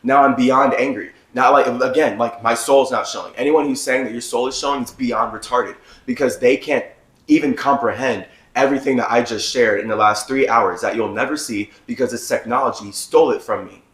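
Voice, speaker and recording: A man with a raspy, strained voice that is giving out, delivering a heated, ranting monologue as a vlogger. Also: medium pitch, fairly even intonation, brisk, a bit bright, reverberant. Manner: heated, ranting, with run-on sentences. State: losing his voice. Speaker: vlogger host. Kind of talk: agitated monologue to camera. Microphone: phone.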